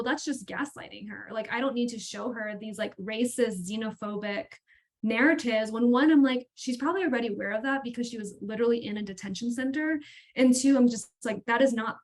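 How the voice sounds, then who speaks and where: An American woman in her thirties speaking as an educator on an online panel, earnest, informal and emphatic. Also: on the high side. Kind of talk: conversational panel talk. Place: indoors on video call.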